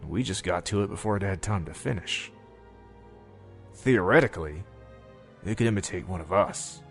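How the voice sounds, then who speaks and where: A man acts as a senior male scientist in a horror storybook drama, grave and explanatory. His voice is low and measured, with soft music behind. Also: lively intonation, creaky. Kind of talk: horror read-along audio drama.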